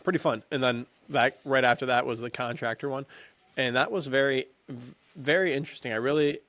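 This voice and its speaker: A man chatting casually as a podcast co-host, in slangy, halting talk with frequent chuckles. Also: mid-range voice, fairly bright tone. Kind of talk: casual movie chat.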